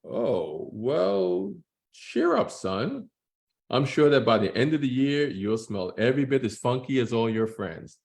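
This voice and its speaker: A man voicing a supportive, gently joking father in a children's graphic novel read-aloud, with a reassuring, wry manner. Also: medium pitch, big pitch swings, a little slow, gravelly, voice pushed up. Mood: wry, consoling.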